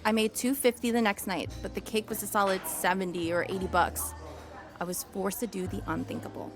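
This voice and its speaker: A woman's voice: mid-range voice, fairly even intonation, brisk, music in the background, natural voice. A young woman playing a brash, hustling webcam dominatrix in a comedic audio drama, sassy, casual and bossy. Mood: matter-of-fact.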